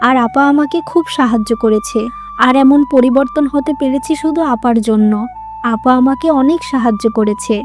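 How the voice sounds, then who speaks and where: A woman's voice: sits high, normal speed, a little mellow, faint music behind, close to an everyday voice. A woman voicing an emotional, plaintive young modern wife in a moral-story cartoon. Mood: relieved, apologetic.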